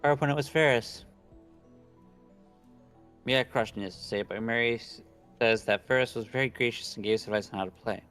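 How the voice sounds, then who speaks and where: A man's voice: mid-range voice, a little fast, long pauses. A young man casually reading visual novel narration aloud and chatting, stumbling over words now and then. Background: soft background game music.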